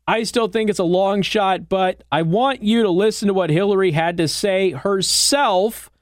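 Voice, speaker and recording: A man's voice: high voice, normal speed, no echo, clean studio sound. An American man hosting conservative political talk radio, chatting in a conversational way with sardonic asides. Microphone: close studio microphone.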